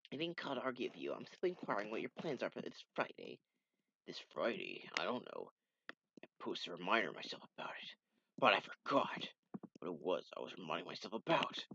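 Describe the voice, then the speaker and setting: A man voicing a gruff, hotheaded young male echidna in a low, grumbling tone. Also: very animated, a little fast, pushed and bright, highs cut off, heavily acted.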